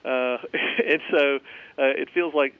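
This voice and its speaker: A man speaking by telephone in a live news interview, explaining in a measured, hesitant way with frequent pauses. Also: medium pitch, fairly even intonation, smooth. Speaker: head of school, phone interviewee. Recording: dull band-limited phone line.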